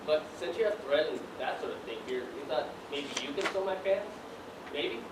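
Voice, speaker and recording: A woman acting in an amateur stage play as an earnest, stubborn young gentleman, polite and pleading. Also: sits low, expressive, normal speed. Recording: roomy stage recording, distant, noisy.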